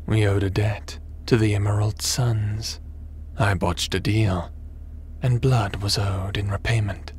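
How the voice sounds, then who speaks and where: A smug, menacing sci-fi villain, acted by a man, speaks in a low register and takes his time over every phrase. He is taunting and theatrical, a ruthless crime boss from an audio drama, with noise audible behind him. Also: fairly even intonation, takes it slowly, darkish tone. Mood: smug, cold. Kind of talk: audio roleplay acting.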